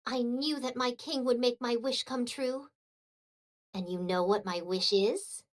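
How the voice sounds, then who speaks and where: A woman playing a loyal, formal and dryly vengeful royal retainer in a video game, with measured, courtly diction. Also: fairly high pitch, close to an everyday voice. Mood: cold, vengeful.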